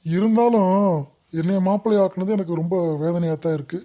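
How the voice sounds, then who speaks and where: Woman as a mellow, hapless male cartoon astrologer. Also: on the low side, a little fast, lightly acted. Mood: aggrieved. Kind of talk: cartoon comedy dialogue.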